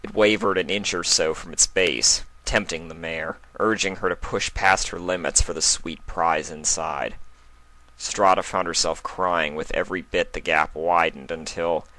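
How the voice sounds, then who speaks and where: Deep-voiced man narrating, bright and forceful. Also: steady tone, a little slow, poor audio. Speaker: fan fiction narrator. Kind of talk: dramatic scripted story narration.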